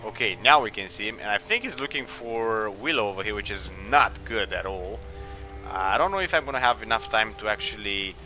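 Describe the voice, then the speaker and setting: Low-voiced man doing casual game commentary. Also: lively intonation, a little fast, sharp tone, low-quality recording. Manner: hesitant, thinking aloud. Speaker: gaming video host. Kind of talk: casual let's play commentary. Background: game music.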